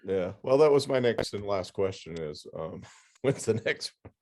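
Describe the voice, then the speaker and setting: A man hosting a casual interview, speaking in hesitant, halting phrases. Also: on the low side, animated, darkish tone. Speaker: podcast host, interviewer.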